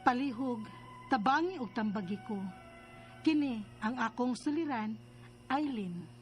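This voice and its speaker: Radio drama role: distressed young mother, Cebuano accent, high-pitched, earnest, over a music bed. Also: animated, measured pace. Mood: earnest, troubled. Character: troubled young mother Eileen, confused and pleading. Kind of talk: radio drama acting and letter narration. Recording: music behind the voice.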